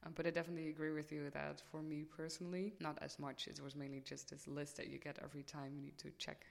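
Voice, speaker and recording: A woman's voice: low voice, level tone, quick pace, gravelly. A woman hosting a conversational podcast interview as a student life coach. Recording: reverberant hall-like room sound.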